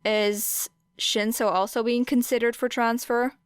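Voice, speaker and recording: A woman's voice: fairly high pitch, little pitch movement, a little slow, smooth, no echo, close to an everyday voice. A woman voicing a guarded teenage girl student in an audiobook, reserved and carefully polite. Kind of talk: audiobook character voice.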